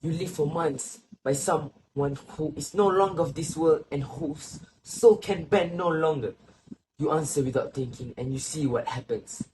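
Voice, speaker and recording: A man playing a sorrowful, reflective old grandfather in a stage play, speaking in a slow, solemn, literary way. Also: a little high, darkish tone, close to an everyday voice, rough recording. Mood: grave, reflective. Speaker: student actor. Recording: faint background noise.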